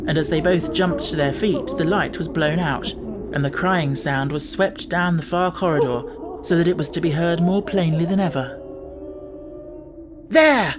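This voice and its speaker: A man's voice: on the high side, normal speed, loud music behind the voice. A man reading a children's novel aloud as scripted audiobook narration.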